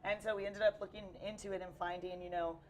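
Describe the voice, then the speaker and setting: A woman's voice: mid-range voice, level tone, fast, lo-fi sound, slight background noise. A young woman with a Texan accent, chatting casually as an interview guest while losing her voice, upbeat and full of fillers. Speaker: cosplayer guest. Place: busy convention hall.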